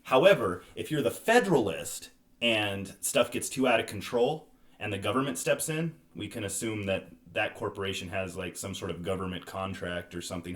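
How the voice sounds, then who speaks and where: A man's voice: fairly low pitch, a bit bright. A man hosting a podcast, casually talking through board game reviews. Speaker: podcast host.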